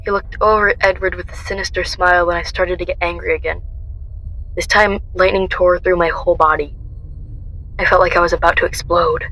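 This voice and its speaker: A woman's voice: lowish voice, steady tone, a little fast, a bit bright, poor audio. A woman playing a defiant, haunted young woman on the run, telling her story earnestly in a radio drama. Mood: anguished narration. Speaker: lead actor and narrator in an amateur radio drama. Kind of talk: first-person dramatic narration and radio drama acting. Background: music.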